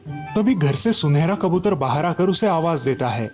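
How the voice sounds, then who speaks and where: A man reads a scripted children's story. His voice is warm and mellow, a touch high for a man, and music plays behind him. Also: expressive, moderate pace.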